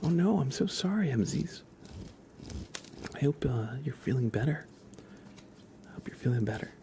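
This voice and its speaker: A man hosting an ASMR livestream, speaking softly and breathily in a gentle, soothing, unhurried way, often slipping into a whisper. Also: medium pitch, lively intonation, gravelly, slight background noise. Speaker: livestream host. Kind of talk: ASMR livestream, chatting to viewers.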